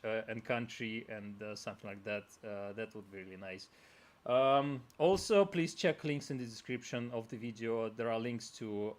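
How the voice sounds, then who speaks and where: Rambling man hosting a live stream. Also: mid-range voice. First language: Russian. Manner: rambling, repeats words, laughs often. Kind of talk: casual live stream chat.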